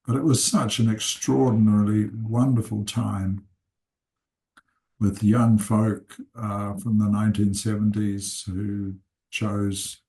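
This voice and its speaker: A man in his seventies, born in England and raised in New Zealand, calmly introducing himself and his life story, pausing often. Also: lowish voice, rough recording. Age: 70s. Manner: slow, unhurried, with frequent "uh" pauses. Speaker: seminar teacher and consultant. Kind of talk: unscripted personal introduction.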